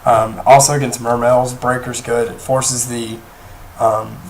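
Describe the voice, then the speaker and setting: A young man with a hoarse voice, talking casually through a card game deck, rambling with many pauses and restarts. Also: mid-range voice, level tone, a little hiss or hum, low-quality recording. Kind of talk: casual deck profile talk. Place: indoors at a table, off camera.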